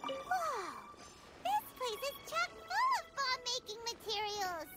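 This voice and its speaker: A woman voicing a tiny, cheerful, excitable childlike fairy companion in a video game, exclamatory and perky. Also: slow, full character voice. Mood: curious. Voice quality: childlike, squeaky. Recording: music playing behind the voice.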